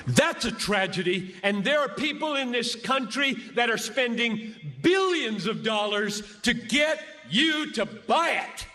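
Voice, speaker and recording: A man preaches in a high, bright, forceful voice. He speaks slowly and emphatically, pleading and repeating phrases for dramatic weight. Long reverb from a large hall surrounds his words. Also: expressive, a little hiss or hum. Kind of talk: impassioned sermon to a large gathering.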